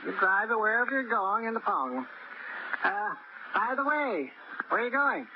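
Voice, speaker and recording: An actor playing a nosy, folksy small-town station master in a 1940s radio drama, drawling with hesitant fillers. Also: male voice, high voice, very animated, normal speed. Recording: muffled 1940s radio broadcast, hiss.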